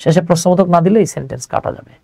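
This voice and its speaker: A Bangladeshi man speaks in a fairly high but mellow voice, recorded close and dry. He teaches grammar to online students informally, breaking things into steps. He often asks a question and then answers it himself. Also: rapid-fire, gravelly, no echo. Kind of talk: live online lesson, explaining step by step to students.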